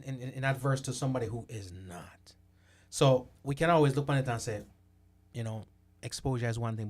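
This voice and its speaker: A male host in a relaxed interview conversation. He talks with a mid-range voice, often backs the guest up with quick affirmations and repeats words while gathering his thoughts. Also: medium pitch. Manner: frequent affirmations, stammered repeats. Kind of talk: casual interview talk.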